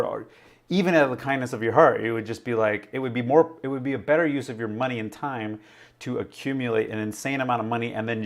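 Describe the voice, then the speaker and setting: Rambling man hosting a livestream. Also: fairly low pitch. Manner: rambling, laughs often, reads viewer chat. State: slightly raspy voice. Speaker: livestream host. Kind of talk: casual livestream chat.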